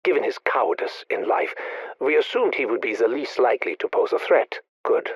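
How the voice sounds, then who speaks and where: An actor playing a grieving, obsessive male scientist, speaking in a measured, brooding, confessional way in video game audio logs. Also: mid-range voice, big pitch swings, breathy edge, no echo, dull audio.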